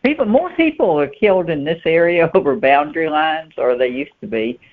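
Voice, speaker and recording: A woman giving plain-spoken, halting answers as a guest novelist in a conversational interview. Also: low-pitched, lively intonation. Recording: muffled, thin phone-line sound.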